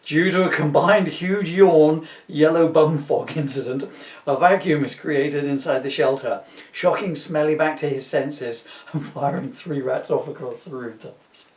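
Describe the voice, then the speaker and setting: A man's voice: fairly high pitch, unhurried. A middle-aged man reading a comic story aloud with chatty asides, jokey and easily tickled, stopping to interrupt himself. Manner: giggly, jokey, self-interrupting. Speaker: author reading own comedy book aloud. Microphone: camera at some distance. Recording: roomy echo, distant microphone.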